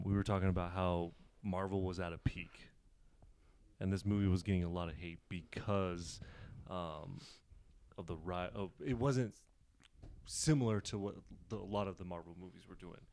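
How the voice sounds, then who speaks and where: A young man co-hosting a podcast, pushing back quickly and repeating himself as he quizzes the others. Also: low-pitched, steady tone, darkish tone. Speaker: podcast co-host. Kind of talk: casual chat.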